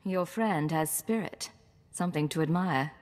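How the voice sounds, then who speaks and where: A composed female agent, speaks in a measured, slightly low voice. She is cool and dryly witty, as in game cutscene dialogue. Music sits behind her, with a long reverb on the voice. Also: a little mellow. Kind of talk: video game voice acting.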